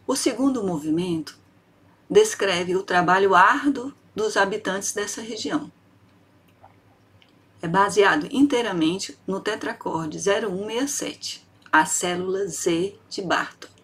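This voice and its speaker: A woman with a Brazilian Portuguese accent, a piano professor reading an academic lecture in a measured, precise way. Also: mid-range voice, fairly bright tone, husky.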